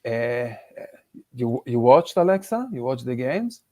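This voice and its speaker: A man with an Israeli accent and Hebrew as his first language, doing a deadpan stand-up set over a video call with halting restarts. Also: mid-range voice, no echo. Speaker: stand-up comedian.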